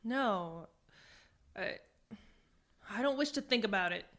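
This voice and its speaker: A woman playing an earnest, bewildered exile in a science fiction radio drama, guarded and halting. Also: mid-range voice, animated, fairly bright tone. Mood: subdued, resistant.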